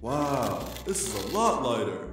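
A man's voice: mid-range voice, unhurried, music in the background. Man acting a naive, swooping-voiced crook sidekick. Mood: eager. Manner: simple, earnest, excitable. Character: naive, good-hearted dim male crook sidekick. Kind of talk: audio theater acting.

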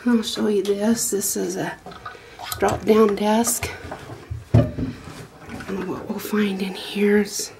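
A woman's voice: mid-range voice, little pitch movement, takes it slowly, creaky, pauses often, background noise. A middle-aged woman, giving a rambling, homespun tour of her small wooden goat barn with lots of fillers and restarts. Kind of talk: casual walkthrough narration. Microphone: handheld phone camera.

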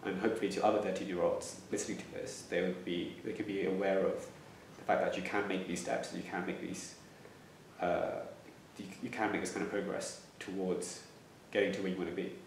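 A man's voice: low-pitched, little pitch movement, brisk, slight background noise. A man in his twenties who stammers, repeating words and restarting as he answers interview questions reflectively. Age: 20s. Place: plain room indoors. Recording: echoey room, slightly distant mic.

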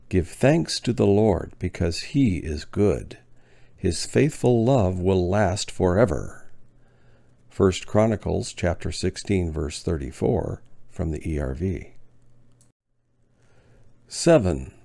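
Brief: deep gravelly male teacher, slow and deliberate, lively pitch. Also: dry recording, clean studio sound. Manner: spaced-out words with long pauses, clear enunciation. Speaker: ESL teacher. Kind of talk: slow, deliberate lesson reading.

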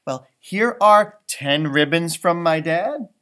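A man's voice: a little high, lively intonation, slow, a bit bright. A man voicing an earnest, slightly nervous young schoolgirl in a storybook, speaking carefully.

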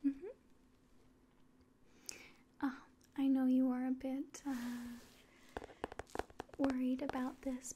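A young woman whispers slowly and breathily in an ASMR roleplay as a calm, faintly condescending alien official. Her voice is high and mellow, with gentle pauses as she addresses the listener up close. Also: a little hiss or hum. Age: young adult. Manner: slow, gentle, hesitant pauses. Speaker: ASMR performer. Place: small indoor room.

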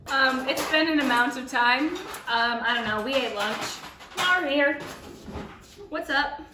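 A woman's voice: high-pitched, measured pace. A young woman co-hosting a cooking vlog, chatting playfully in a home kitchen and breaking into laughter. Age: young adult. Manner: playful, bursts into song and laughter. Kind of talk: casual chat while cooking. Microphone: camera across the room. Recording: echoey kitchen, far from the mic, noisy.

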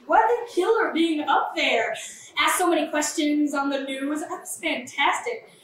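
A woman's voice: high-pitched, measured pace. A young woman playing a glib, manipulative, callous woman with a morbid streak in a theatrical staged reading. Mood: gleeful, scheming. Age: young adult. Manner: animated, flippant, theatrical. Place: small café, live staged reading. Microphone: far camera. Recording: echoey, distant camera mic, faint noise.

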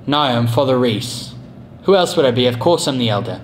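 A man's voice: medium pitch, expressive, brisk, a little hiss or hum, close to an everyday voice. A man acting as a theatrical, pompous school elder with a British accent in an amateur comedy skit. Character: elder, undead former student cursed to answer history questions.

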